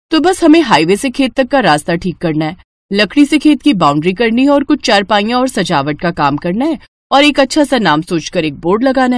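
Woman doing an eager young village girl in a cartoon, high lively voice. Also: no echo. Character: earnest, resourceful young village daughter and sister-in-law.

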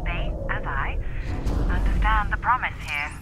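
An actress playing an earnest, composed female doctor dictating a formal, measured personal log in a video game. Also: mid-range voice, loud music behind the voice, close to an everyday voice. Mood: composed, hopeful.

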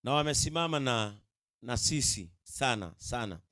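A middle-aged Kenyan pastor with a Kikuyu accent, preaching emphatically to a gathering and often repeating himself to check they follow. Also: male voice, medium pitch, expressive, normal speed, sharp tone, no room sound, studio quality. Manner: emphatic, repetitive, checks in with the audience. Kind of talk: sermon to a live gathering. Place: outdoor tented event. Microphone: handheld microphone.

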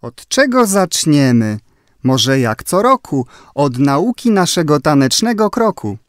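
A performer voicing a cheerful little angel in a rhymed children's Christmas audio play, with expressive storybook delivery. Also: medium pitch, a little slow, no echo, studio quality. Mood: bright, pondering.

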